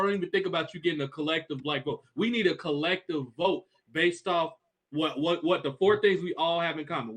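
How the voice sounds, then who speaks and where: Fast-talking blunt man from Chicago. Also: on the high side, fairly bright tone. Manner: blunt, profane, emphatic. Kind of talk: casual livestream chat.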